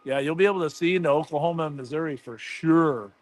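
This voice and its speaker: A man's voice: on the high side, fast talker, no echo. An American man hosting a casual livestream with enthusiastic, conversational live commentary. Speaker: livestream host.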